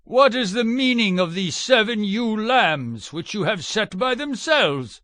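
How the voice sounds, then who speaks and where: A wary ancient king speaks in a high male voice with solemn, formal declamation. The sound is dry and close, in the style of dramatized scripture. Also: sing-song, unhurried, pushed and bright, dry recording, exaggerated voice. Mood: protesting, questioning.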